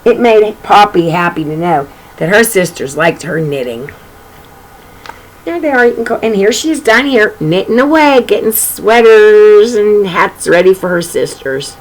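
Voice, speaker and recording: Animated woman reading stories to children. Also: mid-range voice, unhurried, low-quality recording. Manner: chatty asides, repeats phrases, addresses child listeners directly. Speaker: children's story reader and host. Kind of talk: chatty picture-book read-aloud to children.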